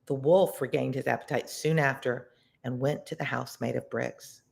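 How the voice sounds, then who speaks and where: A read-along storyteller reading a children's tale expressively and deliberately, pausing to explain words simply like a tutor. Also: mid-range voice, steady tone, creaky.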